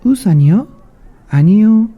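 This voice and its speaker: Storybook little female mouse, performed by a man: anxious, polite, raised pitch, rapid insistent bursts. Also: expressive, husky, phone-quality sound. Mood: confused. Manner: quick Korean phrases in short repeated bursts.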